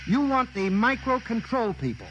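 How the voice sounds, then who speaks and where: A man's voice: extremely high pitch, very animated, normal speed, a bit bright, dull audio, slight background noise, heavily acted. A male actor playing a peevish, dismissive repair-service man in a 1950s radio drama. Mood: irritated. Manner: huffy, dismissive. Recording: vintage 1950s radio recording, music behind.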